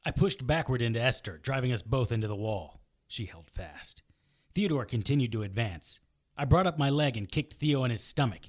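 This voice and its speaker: A man acting an embattled hard-boiled hero who narrates his own story in an audio drama. His voice is medium in pitch, and his delivery is terse and matter-of-fact. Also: expressive, normal speed, lightly acted. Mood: tense, grim. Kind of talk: scripted first-person narration in audio drama.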